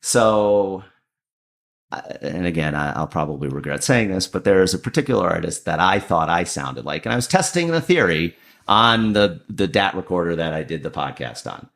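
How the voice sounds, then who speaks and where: A man with a deep voice, a comedian and lawyer telling a self-deprecating story as a podcast guest. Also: animated, normal speed, vocal fry, a bit bright. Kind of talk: casual storytelling.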